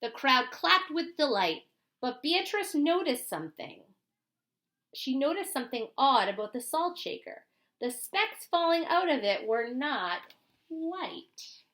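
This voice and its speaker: A woman reads a children's picture book aloud in a high, bright voice. Her delivery is very lively and expressive, with dramatic emphasis and pauses for young listeners. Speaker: storybook reader.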